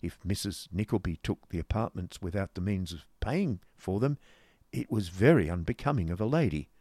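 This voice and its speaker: A man's voice: deep voice, expressive, moderate pace, mellow, no room sound, clean studio sound. A male reader voicing a mincing, genteel woman of fifty who speaks primly and hesitantly. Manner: prim, dainty, hesitant with little coughs. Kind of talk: audiobook character voice.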